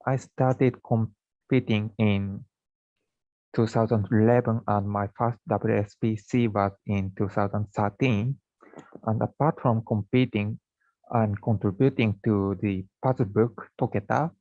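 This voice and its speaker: A man's voice: fairly low pitch, mellow, no room sound. A man in his late twenties with a Japanese accent, introducing himself plainly on a panel. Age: 20s. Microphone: video call. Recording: dry, muffled video call audio.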